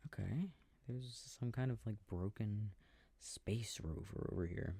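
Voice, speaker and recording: A man with a mellow, gravelly voice speaks very softly, close to the microphone in a dry space. He muses to himself at an unhurried, slow pace, often dropping near a whisper, like a sleep-aid ASMR performer playing a game. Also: on the low side, no room sound. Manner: quiet, unhurried musing to himself. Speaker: audio roleplay performer. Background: game controller clicking.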